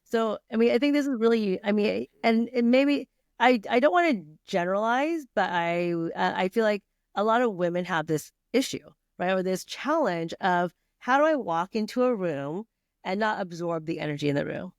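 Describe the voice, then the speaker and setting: A woman's voice: mid-range voice, expressive, normal speed, no echo, clean studio sound. A middle-aged woman from Vietnam, guest on a podcast, talking casually with many fillers, restarts and laughs. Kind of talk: casual conversational interview.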